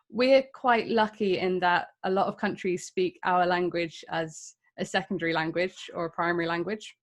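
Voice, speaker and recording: A woman in her thirties with an English accent, giving a candid, self-deprecating talk to an audience of developers. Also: mid-range voice. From England. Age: 30s. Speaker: conference speaker.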